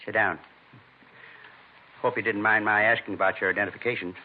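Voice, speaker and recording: Actor for shaken American husband, male, fifties, 1950s radio drama. Fairly low, halting, earnest, indignant; muffled old broadcast sound. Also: fairly even intonation, slight background noise, natural voice. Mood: polite, wary. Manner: halting, earnest, plain-spoken, repeats himself. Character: shaken, indignant fifty-two-year-old husband, a robbery victim.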